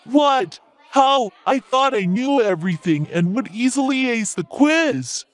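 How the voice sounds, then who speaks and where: A man voicing an animated, high-pitched cartoon schoolboy. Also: measured pace. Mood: shocked. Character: Bob, cocky young schoolboy who loves video games. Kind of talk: children's cartoon story acting.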